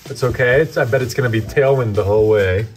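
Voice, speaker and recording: A man's voice: mid-range voice, soft background music. A man chatting cheerfully on camera, cheering on his partner before and after a marathon. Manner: slow, upbeat, cheering. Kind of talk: casual vlog chat.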